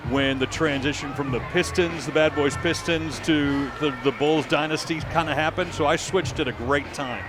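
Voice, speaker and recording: A man's voice: fairly high pitch, steady tone, moderate pace, few pauses. An American man, a sports announcer, talking casually as an interview guest. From United States, from Michigan and Illinois. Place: TV broadcast booth at an outdoor ballpark. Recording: noisy outdoor ballpark booth. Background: clear ambient stadium noise.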